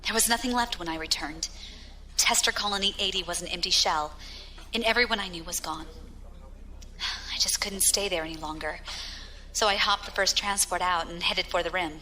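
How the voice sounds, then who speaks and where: A woman's voice: on the low side, normal speed, pushed and bright, slight background noise. An actress playing a battle-hardened, proud young female pirate captain with a wry storytelling manner in a science fiction audio drama. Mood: bitter, resolute.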